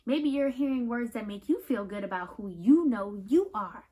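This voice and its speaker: American woman, children's read-aloud host, high voice, expressive and affirming, speaks directly to kids. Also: normal speed, breathy edge. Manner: affirming, reassuring, speaks directly to young listeners; expressive, repeats phrases for emphasis. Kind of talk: picture book read-aloud with talk to children.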